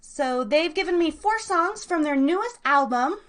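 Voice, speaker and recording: High-voiced woman hosting a casual live show. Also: animated, moderate pace, a bit bright. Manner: informal, slangy, rambling, addresses listeners directly. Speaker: host of a live online radio show and podcast.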